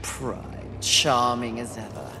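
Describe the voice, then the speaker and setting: A woman's voice: rumbling low, lively intonation, slow, reverberant, big-room sound, over music, exaggerated voice. An actress playing a proud, short-tempered female warrior in a video game, curt, imperious and combative. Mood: fierce scorn. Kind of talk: dramatic video game acting.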